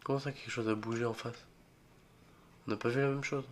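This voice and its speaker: A young man with a cold, voice a bit rough, joking and getting spooked as he plays a horror game for his channel. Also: medium pitch, fairly even intonation, a little fast, slight background noise. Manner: jokey, easily spooked, mutters reactions and swears. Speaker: gaming video creator. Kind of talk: solo horror game let's play commentary.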